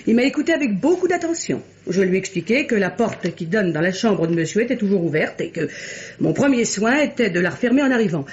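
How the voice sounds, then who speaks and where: An actress playing a chatty, saucy, coquettish young French chambermaid in a vintage radio mystery. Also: female voice, medium pitch, big pitch swings, a little fast, a little mellow, lightly acted. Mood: gossipy, coquettish. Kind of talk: mid-20th-century French radio mystery acting. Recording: muffled vintage radio recording.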